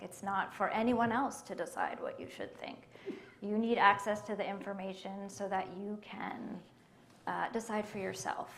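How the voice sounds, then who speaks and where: A woman with a quiet voice, speaking on a panel at a library event in a measured way, with frequent ums. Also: medium pitch, a little hiss or hum. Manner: soft-spoken and measured, with many ums. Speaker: library collection manager on a panel. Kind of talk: panel discussion answers.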